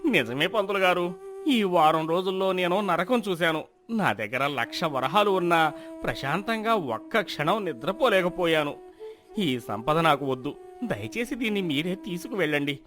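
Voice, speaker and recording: A man's voice: sits high, lively intonation, normal speed, fairly bright tone, faint music behind. A man voicing a guilt-ridden village shopkeeper in a moral story, anxious, worn out by fear and pleading in distress. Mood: desperate pleading. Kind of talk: acted moral-story dialogue.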